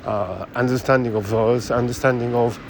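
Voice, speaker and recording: A man with a Japanese accent thinking aloud in a halting monologue while walking city streets, speaking into a phone, full of fillers and restarts. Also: medium pitch, fairly even intonation, vocal fry, a little hiss or hum. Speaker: podcast host. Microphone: phone held close.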